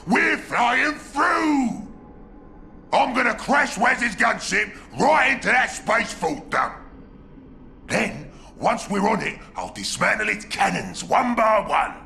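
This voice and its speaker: Video game ork boss: greedy, cunning brute, Cockney, gravelly, big swooping pitch, unhurried bellow. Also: male voice, animated, pushed and bright, slight background noise, exaggerated voice. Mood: scheming, boisterous. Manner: bellowing, crude broken grammar. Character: brutish, cunning, greedy ork warboss, male. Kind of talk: video game cutscene acting.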